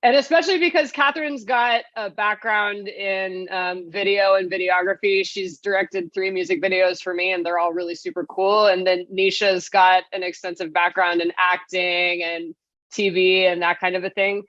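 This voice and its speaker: A woman's voice: fairly low pitch, steady tone, moderate pace, a bit bright, no echo. A woman interview guest telling a story in a casual, conversational way. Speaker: interview guest, radio play writer. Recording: slightly dull, lo-fi.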